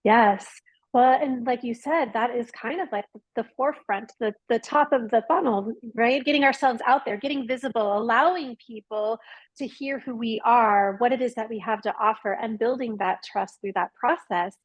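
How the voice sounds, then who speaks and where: A woman's voice: fairly high pitch, moderate pace, highs cut off. A woman hosting an interview show on a call, welcoming her guest enthusiastically and affirming her points. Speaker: podcast host.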